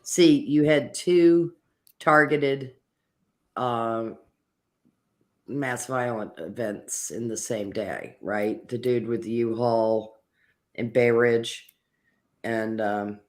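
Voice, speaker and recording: Political talk panelist, mid-pitched voice, casual, sarcastic, profane. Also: drawn-out words, pauses often.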